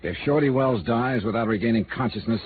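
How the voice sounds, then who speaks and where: An American actor playing a world-weary, blunt private investigator in a terse, dramatic 1950s radio noir style. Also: male voice, mid-range voice, brisk, a little hiss or hum, lightly acted. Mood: grim, insistent. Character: world-weary hard-boiled male insurance investigator, brooding and blunt. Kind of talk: 1950s radio noir acting, narrating and dialogue. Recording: muffled vintage radio recording with music.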